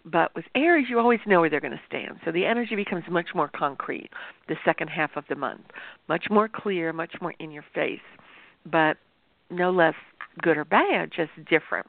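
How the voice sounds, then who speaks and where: A woman astrologer hosting a show, talking to viewers in a chatty, explanatory way, with a sore throat that makes her voice gravelly. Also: lowish voice, animated, moderate pace, dry recording. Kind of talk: informal talk-show monologue. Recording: tinny phone-like dry sound.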